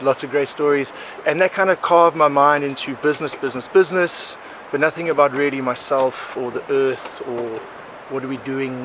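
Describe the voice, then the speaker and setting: Animated South African man telling stories outdoors. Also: medium pitch. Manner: animated, rambling, laughs often. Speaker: podcast guest. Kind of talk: casual conversational storytelling. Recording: outdoor recording with clear background noise.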